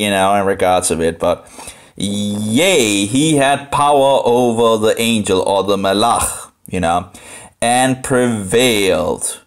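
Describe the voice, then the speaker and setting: Low-voiced Danish man musing over scripture. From Denmark. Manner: halting, rambling, musing, repeats phrases. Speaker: live streamer studying the Bible. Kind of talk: informal, unscripted Bible commentary, thinking aloud.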